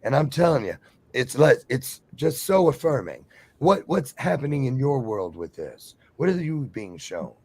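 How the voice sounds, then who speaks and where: A man speaking with effusive, animated enthusiasm on a talk show, eager to keep the floor. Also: mid-range voice, normal speed, slightly rough. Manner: effusive, animated, talks over others.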